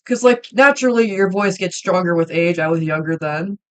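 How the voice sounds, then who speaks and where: A woman's voice: lowish voice, fairly quick, smooth. A young woman chatting casually on a livestream while she draws, rambling and hesitant, with many ums and restarts. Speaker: livestreamer and hobby voice actor.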